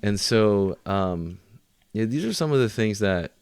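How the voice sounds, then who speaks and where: A man's voice: fairly low pitch, expressive, measured pace, darkish tone, clear, resonant tone, dry recording, clean studio sound. A man co-hosting a podcast, talking casually in informal, bro-ish slang. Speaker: podcast co-host.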